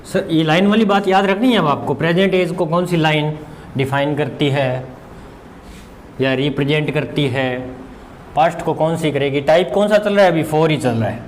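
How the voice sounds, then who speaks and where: A high-voiced man gives an informal exam-prep lesson. He talks like a teacher at the board, repeating key phrases, asking questions and answering them himself. There is some noise behind him. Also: moderate pace. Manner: conversational, asks and answers his own questions as students would; mixes English terms into Hindi. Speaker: maths teacher.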